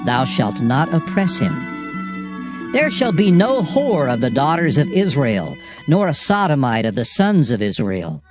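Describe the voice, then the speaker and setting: A man giving a solemn, declamatory dramatized scripture reading in measured phrases. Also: fairly high pitch, wildly swooping pitch. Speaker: Bible reader. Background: music.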